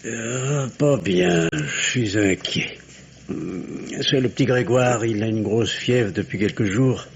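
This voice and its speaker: An actor playing a weak, henpecked middle-aged country doctor in a French radio drama, hesitant and apologetic, his voice low and husky. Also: slow, heavily acted. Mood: sheepish. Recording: muffled old radio recording.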